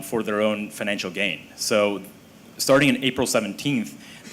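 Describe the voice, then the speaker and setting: Low-voiced man explaining on a panel. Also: a bit bright, a little hiss or hum. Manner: measured, explanatory. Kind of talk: panel discussion.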